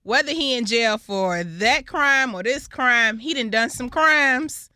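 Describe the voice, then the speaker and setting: A woman's voice: fairly high pitch, slow pace, pushed and bright, no echo. A woman co-hosting a casual podcast, giving blunt, firm opinions. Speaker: podcast co-host. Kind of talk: casual group chat.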